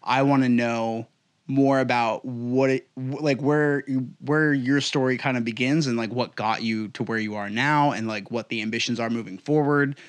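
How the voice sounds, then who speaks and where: A man's voice: mid-range voice, steady tone, normal speed. A man hosting a podcast, explaining his show in a loose, rambling way with many "like"s. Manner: rambling, many fillers and restarts. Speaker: podcast host. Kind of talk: casual interview chat.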